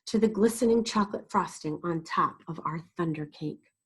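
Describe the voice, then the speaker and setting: A woman's voice: on the low side, moderate pace, breathy edge. A woman storyteller reading a picture book aloud expressively and dramatically for young children. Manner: dramatic, explains to young listeners.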